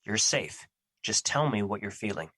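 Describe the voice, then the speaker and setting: A man playing Fear as an earnest, protective personified emotion in an audio drama, with formal, measured phrasing. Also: fairly low pitch, expressive, sharp tone, breathy edge, no echo, heavily acted. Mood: reassuring.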